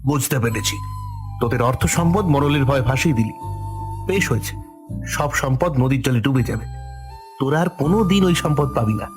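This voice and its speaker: A man's voice: mid-range voice, lively intonation, brisk, pauses often, music in the background, close to an everyday voice. A man playing the headman's menacing, obedient guard in a cartoon, talking in an officious, bullying way. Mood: threatening. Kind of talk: Bengali cartoon voice acting.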